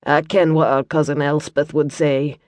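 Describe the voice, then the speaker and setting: A woman's voice: sits low, level tone, moderate pace, darkish tone, voice pushed down, lightly acted. A woman doing a prim Scottish monk. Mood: earnest. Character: pious Scottish male monk, fussy and flustered.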